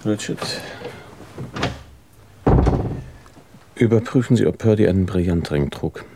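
Radio drama: probing male inspector, deep voice, courteous, methodical, quietly in charge. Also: fairly quick, background noise. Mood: brisk, authoritative. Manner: polite, methodical questioning. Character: calm, probing male police inspector, polite but authoritative.

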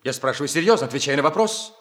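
An actor playing an earnest, hot-headed young suitor with an abrupt, demanding manner in a 1960s radio comedy. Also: male voice, medium pitch, lively intonation, rapid-fire, lightly acted. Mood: serious, demanding. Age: young adult.

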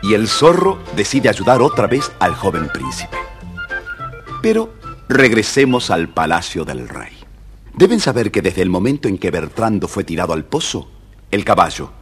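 A man with a husky voice narrating a scripted children's story, dramatic and speaking straight to young listeners. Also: low-pitched, very animated, normal speed, soft background music.

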